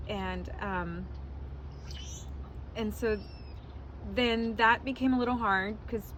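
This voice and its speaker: A woman's voice: fairly high pitch, slow pace, a bit bright, slight background noise, poor audio. A woman in her forties who was born in Mexico, answering interview questions as a wedding planner in a candid, casual way, laughing often and swearing now and then.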